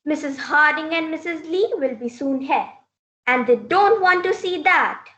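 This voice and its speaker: A woman's voice: sits high, measured pace, bright, forceful tone, dull audio. A woman playing a strict mother who instructs her daughter firmly, in a classroom reader's theater play. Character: strict, advising mother of a talkative young girl. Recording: roomy, echoing room sound.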